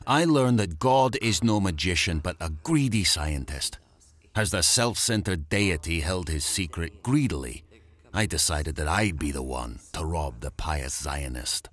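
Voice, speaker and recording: A man's voice: low-pitched, full character voice. An actor playing a tormented male mad scientist, delivering a solemn, theatrical rhyming monologue in a gothic horror audio drama. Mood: defiant, obsessed. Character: tormented, brooding male mad scientist confessing his blasphemy.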